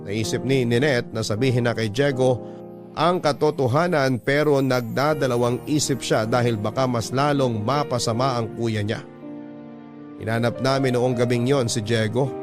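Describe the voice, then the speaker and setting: A man's voice: mid-range voice, normal speed. A man narrating a first-person story for a radio drama in a scripted storytelling style. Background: instrumental music.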